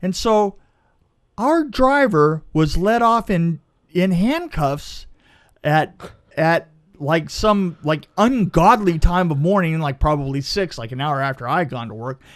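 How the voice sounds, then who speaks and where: A middle-aged man telling a casual anecdote in an emphatic, halting way, repeating phrases and checking in with the listener. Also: high-pitched. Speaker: podcast guest, film crew veteran.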